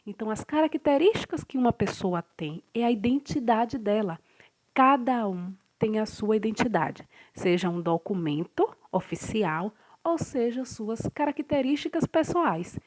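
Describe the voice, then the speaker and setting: A woman reads definitions aloud for a lesson in a steady, explanatory way. Her voice sits a little high and her pitch rises and falls a lot. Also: unhurried. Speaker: narrator of definitions.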